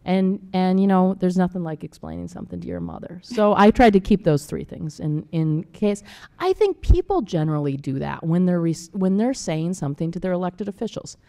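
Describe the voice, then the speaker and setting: A middle-aged woman talks as a panelist, with a fairly low voice that is heavy with creak. Her style is candid and conversational, like someone sharing personal anecdotes. She uses a desk microphone in a council chamber. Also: expressive, normal speed, mellow. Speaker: panelist, former elected official. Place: council chamber with small audience. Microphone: gooseneck desk microphone.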